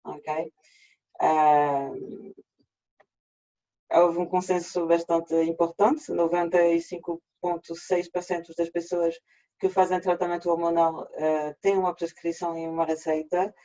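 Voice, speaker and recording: A man with a French accent, native French speaker, presenting an online workshop in a halting way with frequent restarts, over a video call. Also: fairly high pitch, flat delivery, mellow. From France. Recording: dull lo-fi video call audio.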